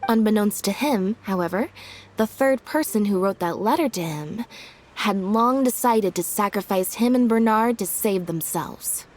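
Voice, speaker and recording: A woman playing a composed, sharply logical detective in a video game, explaining in a measured way. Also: mid-range voice, lively intonation, darkish tone, lightly acted. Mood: calm deduction. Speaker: detective character. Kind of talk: video game story voice acting. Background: faint music.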